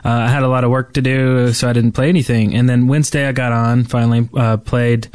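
A man's voice: mid-range voice, flat delivery, fast talker, a little mellow, smooth, no echo. A man co-hosting a casual gaming podcast, recalling things in a hesitant, rambling way. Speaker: podcast co-host.

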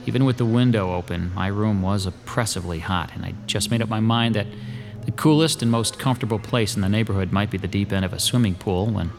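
Radio play: lower-voiced brooding artist in his forties, music clear behind. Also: quick pace. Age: 40s. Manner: weary, brooding, confessional. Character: broke, weary male artist in his forties. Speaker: narrating protagonist. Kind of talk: first-person radio drama.

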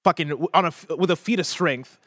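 A man co-hosting, chatting casually and telling excited, rambling stories full of fillers. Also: a little high, fast talker, dry recording, clean studio sound. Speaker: co-host. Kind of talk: casual chat.

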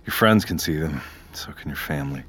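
Deep-voiced, terrified captive narrator. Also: male voice, lively intonation, brisk, slight background noise. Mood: subdued, confiding. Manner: clipped present-tense inner monologue, swearing. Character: terrified, wired male captive narrating his ordeal. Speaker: narrator and lead character. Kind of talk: first-person scripted audio drama narration. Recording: loud music bed under the voice. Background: dramatic music.

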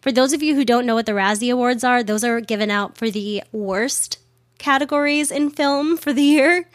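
A woman's voice: a little high, normal speed, no room sound, studio quality. A woman hosting a casual history podcast, chatty with wry asides, her voice slightly worn from being a little sick. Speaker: podcast host.

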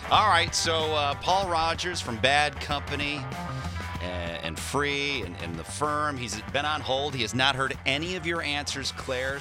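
A man co-hosting a radio show, enthusiastically hyping up the guest and the game. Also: mid-range voice. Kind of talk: upbeat radio banter.

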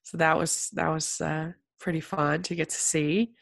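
A woman's voice: low voice, level tone, normal speed, creaky. A woman with a congested, sick-sounding voice, hosting a casual podcast as a film critic, chatty with lots of fillers. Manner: chatty, many fillers and restarts.

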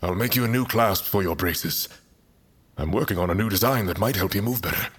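A man's voice: low voice, fairly quick, a bit bright, slightly rough. A man playing a stern, middle-aged clan leader and father in a fantasy audio drama, terse and commanding. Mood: gruffly caring.

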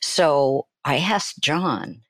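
An older woman telling personal stories as an interview guest, phrasing deliberately and emphatically with pauses. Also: sits low, fairly bright tone, no echo. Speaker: guest, therapist and author.

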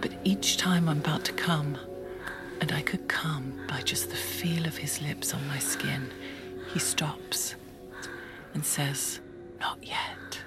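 An actress playing a woman who intimately confides an erotic fantasy in a breathy, confessional audio-drama monologue. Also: deep voice, fairly even intonation, slow, in a whisper. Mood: intimate, aroused. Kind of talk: first-person audio fiction monologue. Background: music.

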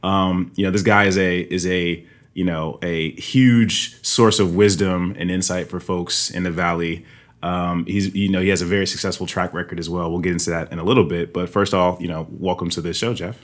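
A man hosting a podcast interview, chatting casually and filling pauses with um, uh and you know. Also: deep voice, fairly even intonation. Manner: rambling, many fillers and restarts. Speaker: podcast host.

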